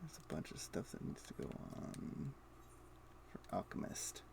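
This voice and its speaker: A man livestreaming a game, chatting casually and muttering his thoughts aloud in short fragments. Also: measured pace. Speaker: game streamer. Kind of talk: casual livestream chat, thinking aloud while gaming. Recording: faint keyboard typing and clicking noise. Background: computer keyboard typing and mouse clicks.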